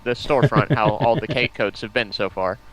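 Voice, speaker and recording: A man's voice: mid-range voice, a little fast. A man chatting casually as a guest on a livestream. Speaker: stream guest. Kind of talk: casual chat.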